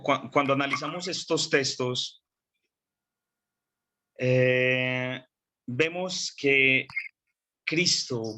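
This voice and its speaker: A man preaching a sermon, congested with a cold, speaking slowly and deliberately with repeated phrases and frequent throat clearing. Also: mid-range voice, sharp tone.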